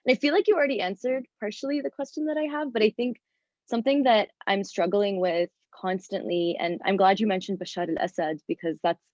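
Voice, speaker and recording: A woman's voice: mid-range voice, steady tone, fairly quick, a little mellow, no room sound. A woman from Syria asking a long question in an online Q&A, thinking aloud and searching for her words with many fillers. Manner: searching, hesitant, full of fillers. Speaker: audience member asking a question. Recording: dry, dull lo-fi online call audio.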